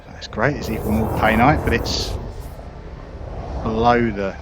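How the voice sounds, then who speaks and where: A man giving relaxed, explanatory gameplay commentary into a headset, talking himself through the controls step by step. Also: fairly low pitch, a little slow. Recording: dull lo-fi sound under loud engine hum. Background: loud spaceship engine and game ambience.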